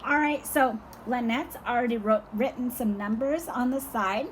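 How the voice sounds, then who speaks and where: An older woman teaching a small child outdoors, speaking slowly and patiently with encouragement, her voice going hoarse. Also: a little high, a little hiss or hum. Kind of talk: home lesson for young children.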